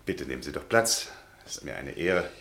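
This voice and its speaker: A shy, pedantic professor of history in a radio play, his voice very deep yet swinging widely in pitch. He talks in a fussy, precise, scholarly way. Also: expressive, normal speed, creaky, fairly bright tone, slight background noise, exaggerated voice. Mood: polite. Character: timid bookish male history professor, pedantic.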